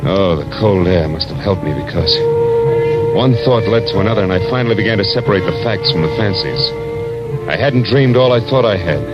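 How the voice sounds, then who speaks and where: An actor playing a tough, weary, wry American private detective in 1940s radio style, talking in clipped slang. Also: male voice, on the low side, lively intonation, brisk, natural voice. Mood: wry narration. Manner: hard-boiled, clipped slang. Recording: dull old radio recording with music.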